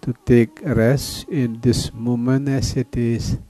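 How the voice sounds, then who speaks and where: Man, meditation teacher, unhurried and mellow. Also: mid-range voice, faint music behind. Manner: slow, calm, with long pauses. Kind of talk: guided meditation.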